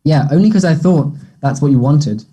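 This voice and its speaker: A conflicted young man in a stage drama played by a man reading the script, husky and mellow. He sounds guarded and guilty, defensive under pressure. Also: a little high, lively intonation, moderate pace. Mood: hostile, hurt. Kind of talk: play table read.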